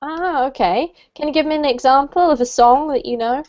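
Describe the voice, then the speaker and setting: High-voiced British woman teaching online. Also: a little fast. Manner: cheerful, laughs often, greets students by name. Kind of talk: casual online class chat. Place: home room in New Zealand. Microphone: video call. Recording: dull lo-fi video call audio.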